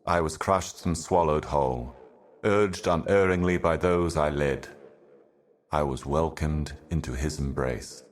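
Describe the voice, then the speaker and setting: A super deep ancient video game herald, grave and weary, slow cryptic doom monologue. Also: male voice. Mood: grave, brooding. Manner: grave, deliberate, ominous, poetic.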